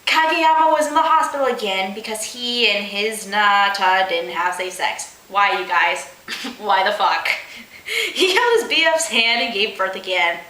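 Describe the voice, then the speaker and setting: A woman's voice: medium pitch, lively intonation, a little slow, bright, forceful tone, echoey room, rough recording. A woman hosting a comic read-aloud of amateur fan fiction, giggly, mock-dramatic and incredulous in her delivery.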